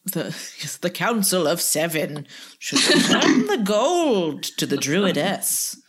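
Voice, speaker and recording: Woman, very varied intonation, slow pace, dry joking podcast host. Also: fairly low pitch, big pitch swings, husky. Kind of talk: casual chat.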